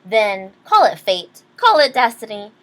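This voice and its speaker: A woman reading a children's picture book aloud expressively, pausing to explain things to young listeners. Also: medium pitch, sing-song, slight background noise. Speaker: read-aloud storyteller.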